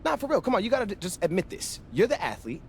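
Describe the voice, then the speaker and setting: An actor playing a cocky, joking young American best friend, brash and slangy, in a video game scene. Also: male voice, high-pitched, wildly swooping pitch, quick pace, slight background noise, heavily acted. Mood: mock-bragging, teasing. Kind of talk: naturalistic video game cutscene acting.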